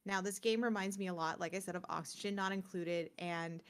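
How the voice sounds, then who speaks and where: A woman's voice: fairly low pitch, fairly even intonation, a little fast, clear, resonant tone. A woman co-hosting a gaming podcast, chatting casually and informally as she presents game picks in a rambling way.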